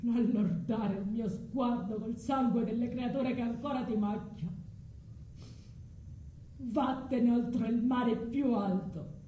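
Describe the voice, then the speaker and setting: A woman's voice: a little high, takes it slowly, dark tone. A woman playing a vengeful, scornful betrayed wife and mother in a stage tragedy, cold and taunting in manner. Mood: contemptuous. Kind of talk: theatrical tragic monologue. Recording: roomy stage, faint background noise.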